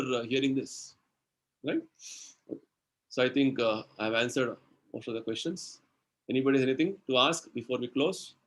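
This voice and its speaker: Indian man giving a slow devotional lecture. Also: on the high side, a bit bright. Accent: Indian English. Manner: slow, explanatory, everyday examples, frequent "you know" and "uh". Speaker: spiritual speaker answering questions.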